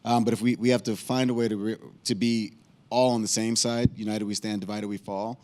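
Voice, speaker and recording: A man with a fairly low voice speaks earnestly on a town hall panel. He is halting, with many "um"s and repeated words as he reaches for his points. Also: steady tone, a little mellow.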